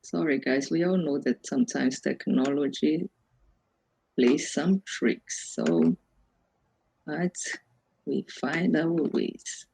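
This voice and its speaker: A woman's voice: low voice, expressive, takes it slowly, creaky, pauses often, warm tone, poor audio. Brazilian woman presenting an informal workshop. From Brazil, Amazon region. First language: Portuguese. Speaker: workshop presenter, self-development coach.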